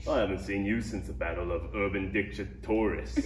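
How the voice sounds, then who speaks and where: A man's voice: low-pitched, steady tone, moderate pace, natural voice. A man playing a tough, confident mercenary bounty hunter and protective father at a script read-through. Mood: cocky. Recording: echoey, noisy, distant room mic.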